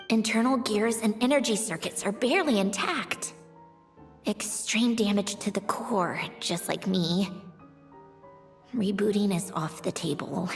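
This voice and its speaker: A woman voicing a sardonic, weary mechanical puppet researcher in a video game, speaking in a dry, analytical way. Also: medium pitch. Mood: grim, bitter. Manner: analytical, dry, clipped self-talk.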